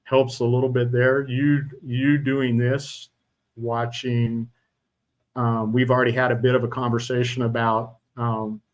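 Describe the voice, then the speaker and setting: Middle-aged male host, mid-pitched, chatty but stumbling, many restarts. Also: little pitch movement, rough recording. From Utah. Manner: halting, many fillers and restarts. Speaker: podcast host. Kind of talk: casual interview chat.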